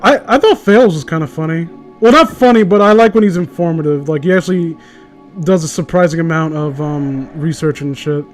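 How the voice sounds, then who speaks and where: A man's voice: a little high, brisk, faint music behind. A man chatting casually in a blunt, opinionated way with plenty of swearing. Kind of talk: casual chat.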